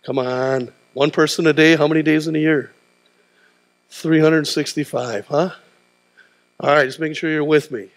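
A man's voice: a little high, steady tone, normal speed, pauses often. A middle-aged man preaching a sermon in a large church auditorium, prodding the crowd with questions like "huh?" as he paces the stage. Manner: call-and-response preaching, tag questions like "huh?". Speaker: pastor.

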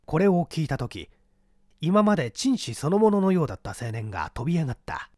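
A man narrating a mystery story in a deliberate, dramatic reading style. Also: fairly high pitch, big pitch swings, dark tone, no room sound, clean studio sound.

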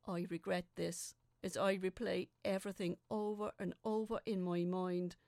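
A woman reading scripted first-person fiction as a dramatic narrator, with a deliberate, suspenseful, theatrical delivery. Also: on the low side, flat delivery, gravelly, darkish tone, dry recording, clean studio sound.

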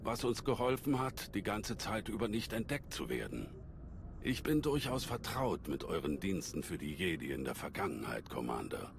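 A man playing a calm old alien Jedi master in a German game dub, measured and scholarly, with a deep gravelly voice. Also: noisy background, heavily acted. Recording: sci-fi game ambience behind voice.

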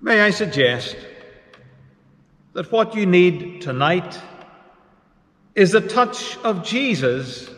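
A man preaching a sermon as pastor, measured and unhurried with pauses between phrases. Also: high-pitched, animated, fairly bright tone. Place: church. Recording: echoey church hall reverb.

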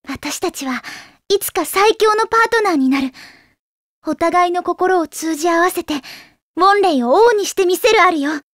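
A woman's voice: very high voice, expressive, normal speed, no room sound, clean studio sound. A voice actress playing an earnest, devoted girl fighter in an anime game. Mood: gentle, hopeful.

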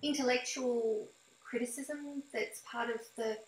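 A woman's voice: fairly high pitch, fairly even intonation, unhurried. A woman in her fifties interviewing a guest in a conversational style, recorded indoors at a desk. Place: small room at home, indoors. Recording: roomy, echoing home recording.

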